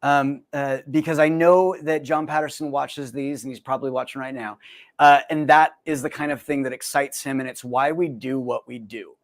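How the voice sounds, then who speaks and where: A man's voice: medium pitch, steady tone, moderate pace. An American man hosting a podcast, chatting in an upbeat, joking way with his guest and reading the show's closing notes. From United States. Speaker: podcast host. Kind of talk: casual interview chat, then read promo outro.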